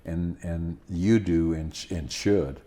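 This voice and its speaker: An older man in his seventies from the Netherlands, speaking English with a Dutch accent and Dutch as his first language, hosting a podcast in a halting style full of fillers and restarts. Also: sits low, fairly even intonation, mellow. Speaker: podcast host. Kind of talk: casual conversation.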